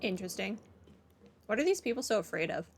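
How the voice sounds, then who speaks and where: A woman's voice: mid-range voice, big pitch swings, fast, slight background noise. A woman doing casual video game playthrough commentary, reading some dialogue aloud.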